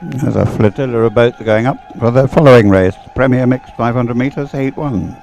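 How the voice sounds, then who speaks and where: A man's voice: medium pitch, normal speed, creaky, a little mellow, faint music behind, low-quality recording. A man giving live race commentary in a conversational, hesitant way, thinking aloud as he goes. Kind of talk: live sports commentary, unscripted. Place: commentary room overlooking the course.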